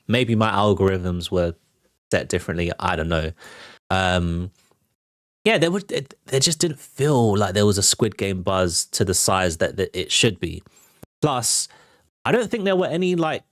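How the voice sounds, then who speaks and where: A British man with a fairly low voice hosts a casual entertainment livestream. He talks conversationally with relaxed slang, working through his opinions out loud. The sound is dry, with no room echo. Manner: conversational, slangy, thinking aloud. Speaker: host of an entertainment news livestream. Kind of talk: casual livestream commentary on film and TV news.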